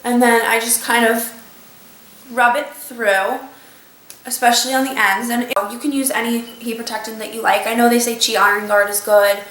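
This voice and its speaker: Young woman vlogger, slightly nasal from a cold, chatty step-by-step tutorial delivery, higher voice, recorded from a distance. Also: steady tone, normal speed, fairly bright tone. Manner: chatty, explains step by step with many fillers. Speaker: beauty vlogger. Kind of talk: casual talk-through tutorial. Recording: echoey room, voice a bit off-mic.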